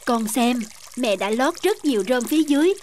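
A woman voicing a gentle, loving mother bird in an animated fable, tender and reassuring. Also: high-pitched, a little fast. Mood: tender, encouraging. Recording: music under the voice.

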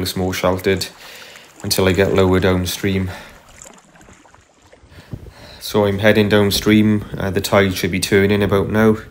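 Low-voiced man narrating from a kayak. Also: slight background noise. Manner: unhurried, lots of ums and uhs. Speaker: kayaker vlogger. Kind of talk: casual narration while paddling. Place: kayak on a tidal river outdoors. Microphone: camera mounted on the kayak.

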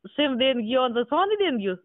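A man's voice: very high voice, big pitch swings, normal speed, dry recording. A man with a Rajasthani accent in a casual phone conversation, asking questions and repeating words back. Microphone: telephone. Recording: tinny, narrow phone call recording.

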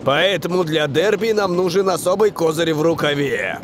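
A commanding cartoon football coach, acted by a man, speaks with terse authority. His fairly high voice moves widely in pitch, and there is clear noise behind it. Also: expressive, moderate pace, natural voice. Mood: serious. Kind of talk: dubbed cartoon acting.

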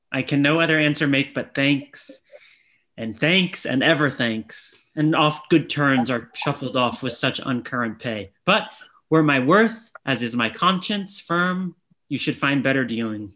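A young man playing a grateful, eager gentleman traveller, earnest and polite, in a Shakespeare comedy reading. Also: mid-range voice, unhurried. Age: young adult. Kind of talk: Shakespeare reading over video call. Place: indoor room, video call.

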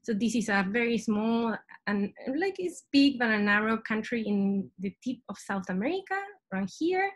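A woman's voice: a little high, expressive, normal speed. A young woman from Chile with a Chilean Spanish accent, giving an informal science presentation over a video call as a PhD student. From Santiago, Chile. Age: young adult. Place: small indoor room at home. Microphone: webcam video call.

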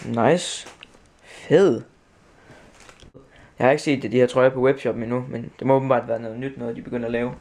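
A man's voice: mid-range voice, fairly even intonation, long pauses. A teenage boy from Denmark vlogging casually to a handheld camera, rambling with lots of fillers and restarts. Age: teens. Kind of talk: casual vlog chat. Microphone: handheld camera at arm's length. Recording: loud background noise.

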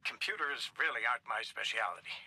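An actor playing a mild, polite civilian in a video game, eager to please and a little hesitant. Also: male voice, lowish voice, a little fast, sharp tone, breathy edge, no room sound, heavily acted.

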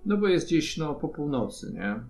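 A man's voice: fairly high pitch, big pitch swings, moderate pace, creaky, darkish tone. A man narrating an improvised tabletop role-playing game as game master. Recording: music behind the voice. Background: instrumental music.

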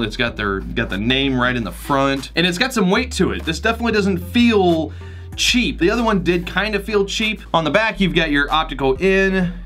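A man's voice: a little high, a bit bright. Chatty man reviewing gadgets on camera. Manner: chatty, upbeat, thinks aloud while testing. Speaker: tech reviewer host. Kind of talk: casual product review. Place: small studio with foam-padded walls, then a living room.

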